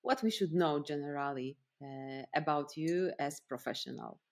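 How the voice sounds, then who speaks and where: A woman's voice: low-pitched, normal speed. A woman with a Polish accent hosting a conversational interview in a friendly, playful way. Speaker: podcast host.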